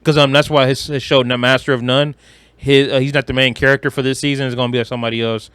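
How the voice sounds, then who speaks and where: A man's voice: mid-range voice, level tone, fast, a bit bright, clear, resonant tone, no echo. A man chatting casually as a podcast co-host, slangy and profane, with strong opinions. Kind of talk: casual chat about movies and games.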